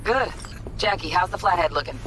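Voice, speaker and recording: A woman's voice: fairly low pitch, lively intonation, a little fast, noisy background, lightly acted. A woman playing a cool, terse hacker in a video game, giving clipped, no-nonsense orders. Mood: cool, instructing. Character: terse, cool female hacker directing a heist.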